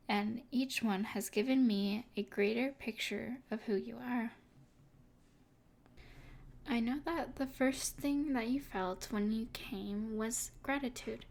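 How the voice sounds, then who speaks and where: A woman playing an evil sorceress, possessive and tenderly devoted, speaking softly and deliberately in an intimate ASMR roleplay. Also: fairly high pitch, gravelly. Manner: soft, deliberate, soothing yet possessive.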